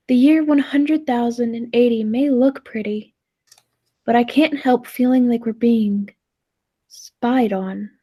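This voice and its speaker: A woman's voice: on the high side, warm tone. A woman playing a time traveler's uneasy, doubtful companion in a 1940s-style radio play, earnest and hesitant.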